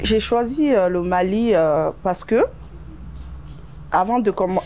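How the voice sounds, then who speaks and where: A woman's voice: medium pitch, expressive, takes it slowly, darkish tone. Hesitant Guinean-born woman, faint noise. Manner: hesitant, searching for words. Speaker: beautician and salon owner. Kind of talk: casual interview answers.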